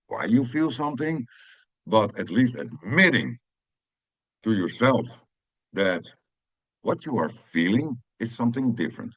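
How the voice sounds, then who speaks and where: A middle-aged Dutch man with a deep, low voice talks through his thoughts in English with a Dutch accent. He pauses often and fills the gaps with "uh" and "um", and he restarts his sentences. The sound is dry and close, with no room echo. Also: slightly rough, muffled recording. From Netherlands. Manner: hesitant, many fillers and restarts. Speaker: podcast host. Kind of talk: reflective personal monologue.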